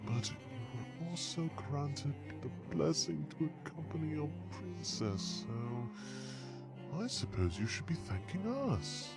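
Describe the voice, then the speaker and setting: A man's voice: a little high, expressive, takes it slowly, gravelly, full character voice. A man playing a haughty, condescending dragon noble in an audio drama, speaking in a pompous, mock-polite drawl. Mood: haughty disdain. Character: haughty, condescending regal male dragon, princess's fiancé. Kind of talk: audio roleplay drama acting. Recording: music behind the voice.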